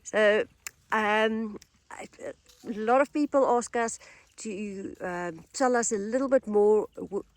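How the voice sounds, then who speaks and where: A woman's voice: medium pitch, slow pace, dry recording. Encouraging woman interviewer, dry sound. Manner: encouraging, many short backchannels. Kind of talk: casual interview.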